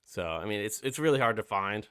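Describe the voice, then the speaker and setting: Young male reviewer, stuffy and nasal from a cold, low-ish voice, dry close sound, loose off-the-cuff rambling. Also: expressive, a little fast, pushed and bright, clear, resonant tone, no echo. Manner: rambling, many fillers and swearing. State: sick and stuffy. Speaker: music reviewer. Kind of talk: casual album review.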